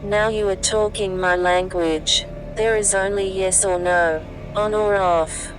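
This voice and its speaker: A woman's voice: medium pitch, steady tone, slow pace, over music, close to an everyday voice, poor audio. Woman as a cool, detached machine intelligence. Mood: detached, challenging. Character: cool, logical machine intelligence, rule-bound and superior. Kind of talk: audio fiction dialogue.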